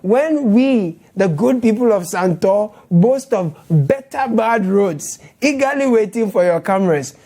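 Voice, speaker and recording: A man's voice: high-pitched, expressive, a little slow, few pauses, warm tone. A young man with a Ghanaian accent hosting a satirical news show from a studio, playful and sarcastic. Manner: playful, sarcastic, laughs while talking.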